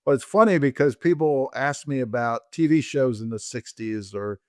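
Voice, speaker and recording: A man in his sixties or older who grew up in Colombia, telling his own story conversationally in a halting way. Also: medium pitch, smooth, dry recording, studio quality. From Colombia, raised by American parents. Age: 60s or older. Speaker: interview guest, orthopedic surgeon.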